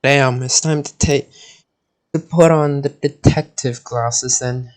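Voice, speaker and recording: Slow, halting young male game streamer. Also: medium pitch, fairly even intonation. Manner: halting, stumbles when reading aloud. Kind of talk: casual live-stream commentary and reading game text aloud. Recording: game music behind the voice.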